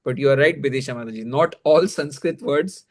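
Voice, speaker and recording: A man's voice: mid-range voice, fairly quick, darkish tone. Male religious teacher, Indian accent, mid-range voice, conversational and explanatory delivery. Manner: explanatory, frequently checking with "you know".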